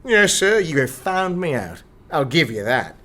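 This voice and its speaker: A man's voice: on the high side, big pitch swings, a little slow, sharp tone, full character voice. An actor playing a cheeky, nonchalant male police spy who has been unmasked, pert and polite in a radio drama. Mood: cheeky nonchalance.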